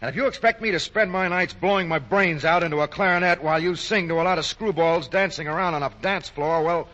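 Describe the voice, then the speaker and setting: An actor playing a stubborn, hardworking young mechanic and grown son in 1940s radio comedy, blunt, earnest and slangy. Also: male voice, high-pitched, quick pace, sharp tone. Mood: irritated, stubborn. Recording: muffled old 1940 radio broadcast.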